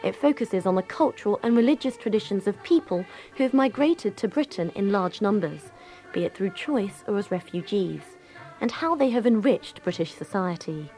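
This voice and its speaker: A woman's voice: mid-range voice, lively intonation, moderate pace, mellow, soft background music. A woman presenting a radio documentary, reading scripted narration.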